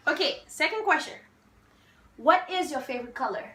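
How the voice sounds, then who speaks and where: A young woman from Haiti with a high, bright voice chats at an easy, unhurried pace. She is playful and self-assured and laughs often. The room adds a noticeable echo. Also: expressive. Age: 20s. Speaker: vlogger host. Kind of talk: casual sibling Q&A chat.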